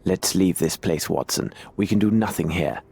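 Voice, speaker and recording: An actor playing a brisk, analytical English gentleman detective in a video game, speaking formally and precisely. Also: low voice, gravelly, slightly rough, full character voice. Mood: brisk, commanding. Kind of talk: video game cutscene acting.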